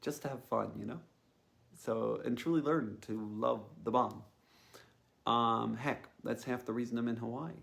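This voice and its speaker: A man's voice: medium pitch, vocal fry. A man giving a reflective personal monologue as a host, musing tentatively and thinking aloud. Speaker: podcast host.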